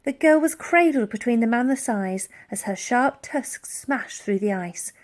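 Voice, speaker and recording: Animated, higher-pitched woman reading a story. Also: a little slow. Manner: expressive, dramatic. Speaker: audiobook reader. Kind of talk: dramatic scripted storytelling for children.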